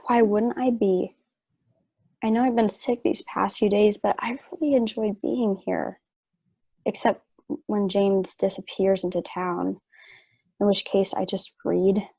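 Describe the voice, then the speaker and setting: A woman playing a sick, trusting wife in a stage play reading, wistful and gently earnest. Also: medium pitch, fairly even intonation, unhurried, vocal fry, breathy edge, no room sound. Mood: wistful, fond.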